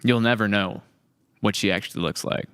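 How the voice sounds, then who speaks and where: A man hosting a podcast in casual chat, with a clear, smooth voice and a teasing manner. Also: on the low side, brisk, a bit bright. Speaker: podcast host.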